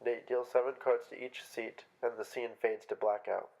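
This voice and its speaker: A man's voice: medium pitch, little pitch movement, normal speed, vocal fry, a little mellow, rough recording. A man reading stage directions as scripted narration for a play.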